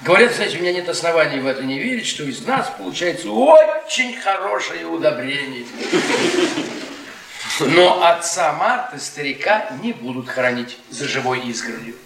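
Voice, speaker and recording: Radio drama: mocking middle-aged academic, tipsy and bitter, high-pitched delivery, recorded at a distance. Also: male voice, very animated, normal speed, gravelly, background noise. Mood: wry, ironic. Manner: sardonic, drawling, needling questions. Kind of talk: Russian radio theatre acting. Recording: echoey stage-like room, distant mic.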